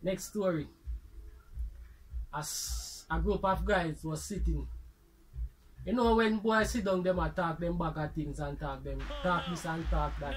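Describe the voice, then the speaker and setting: A man's voice: on the high side, a little slow, long pauses, low-quality recording. A man with a Jamaican accent hosting and telling comic stories in Patois-tinged speech. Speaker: storyteller host. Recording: faint background noise.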